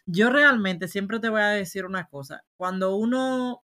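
A woman's voice: medium pitch, a little slow, a bit bright. A woman from Spain with a Spanish accent mixed with Dominican speech, co-hosting a casual podcast chat and telling stories with animation. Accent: Spanish with Dominican mix. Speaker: podcast co-host.

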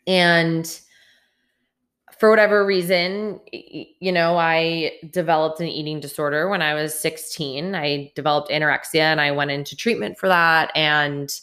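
A woman's voice: low-pitched, steady tone, moderate pace, a bit bright. A woman in her late twenties hosting a podcast, talking earnestly and candidly, with some restarts. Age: 20s. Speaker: podcast host. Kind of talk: solo podcast monologue.

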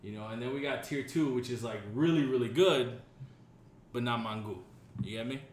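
A man's voice: mid-range voice, normal speed. Joking man co-hosting a podcast, echoey room. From Dominican family. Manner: swearing, joking, rambling with fillers. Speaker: podcast co-host. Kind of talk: casual chat. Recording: echoey room with faint hiss.

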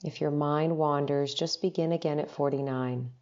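A woman guiding a meditation in a gentle, unhurried, instructional manner, leaving long pauses between short phrases. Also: sits low, steady tone, highs cut off. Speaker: meditation guide. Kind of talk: guided meditation narration.

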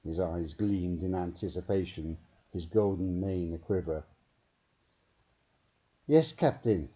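A man reading a story aloud as a dramatic, deliberate audiobook narration, on a muffled recording. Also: low voice, fairly even intonation, husky. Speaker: author reading own story. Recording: dull, muffled narrow-band recording.

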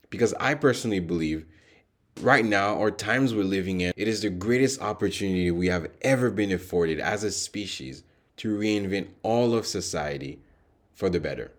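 A man hosting an interview podcast, earnestly introducing the show and his guest. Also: sits low.

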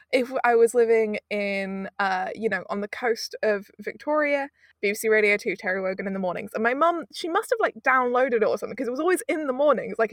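A woman's voice: fairly high pitch, fairly bright tone, dry recording, clean studio sound. A woman in her twenties from the UK, chatting casually as an interview guest, rambling with frequent laughs. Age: 20s. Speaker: audio producer, guest.

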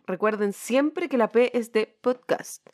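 A woman's voice: on the high side, a little slow, darkish tone. A woman with a Chilean accent co-hosting a podcast in a casual, colloquial, slangy chat. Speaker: podcast co-host.

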